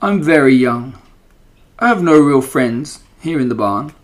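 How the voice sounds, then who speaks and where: A man's voice: medium pitch, animated, a little slow, lightly acted. A man voicing a young, lonely male pig who talks in an earnest, pleading way in a storybook reading. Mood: forlorn.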